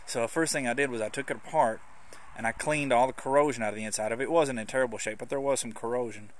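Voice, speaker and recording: Male hobbyist presenter, medium-pitched, informal and conversational, talking through practical work on location at a car. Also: steady tone, quick pace. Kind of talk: informal hands-on walkthrough. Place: in and around a parked car.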